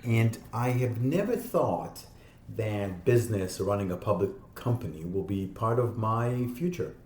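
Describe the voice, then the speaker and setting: A man in his fifties from Israel, a podcast guest explaining his ideas in an animated, conversational way. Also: on the low side, slight background noise. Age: 50s. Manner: animated, explaining with quick asides and laughs. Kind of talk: casual interview talk. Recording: echoey room.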